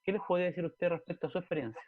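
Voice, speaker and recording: A man's voice: high-pitched, very fast. Interviewer man on a tinny line. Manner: long winding questions, frequent "ya" and "amén" backchannels. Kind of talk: interview questions with short affirmations. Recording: tinny phone-like sound.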